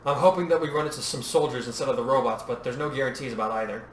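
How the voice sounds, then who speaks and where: A man voicing a calm, practical young woman warrior in a story, matter-of-fact. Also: mid-range voice, very fast, natural voice. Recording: roomy echo, distant mic, faint noise.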